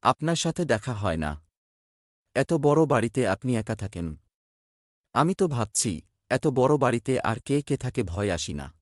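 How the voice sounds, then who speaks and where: A man's voice: medium pitch, sing-song, unhurried, long pauses, no room sound, studio quality. A man playing an honest, humble, hard-working village farmer in a cartoon, earnest and plain-spoken.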